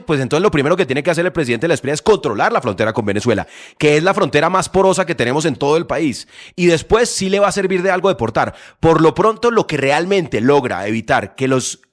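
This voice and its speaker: A man debating on a radio panel, insistent and argumentative. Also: on the high side.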